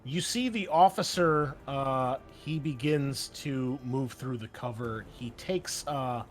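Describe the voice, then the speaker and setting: A man's voice: medium pitch, slow, a little hiss or hum. A man running a tabletop roleplaying game as game master, narrating in a casual, descriptive, wry manner. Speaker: game master and show host. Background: music behind the voice.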